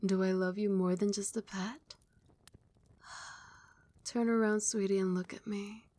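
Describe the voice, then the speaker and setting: ASMR casting: a young woman as a tender, possessive vampire queen, soft soothing whisper, close mic. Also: mid-range voice, fairly even intonation, takes it slowly, dry recording, lightly acted. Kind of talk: ASMR roleplay.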